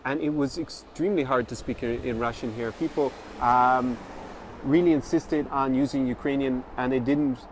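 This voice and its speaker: A man hosting a vlog, explaining things conversationally to camera in measured, slightly hesitant phrasing. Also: medium pitch, steady tone. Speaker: vlog host. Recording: outdoor street noise.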